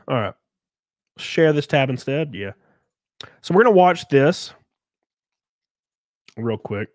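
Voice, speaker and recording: A man in his thirties hosting a casual live stream from a small home room, close on a boom mic, joking crudely and sounding congested from a cold. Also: dry recording. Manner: crude, joking, laughs often. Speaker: livestream host. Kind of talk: casual live-stream chat reading donations. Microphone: studio mic on boom arm, close.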